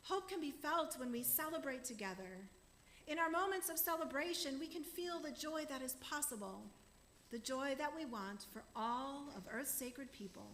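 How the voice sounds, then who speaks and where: A high, bright-toned woman delivers an earnest sermon from the front of a church. Her voice is clear and lifted, with faint room noise behind her, and she mentions she is losing her voice. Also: moderate pace, reverberant. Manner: warm, earnest preaching to a congregation. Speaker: minister. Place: church sanctuary.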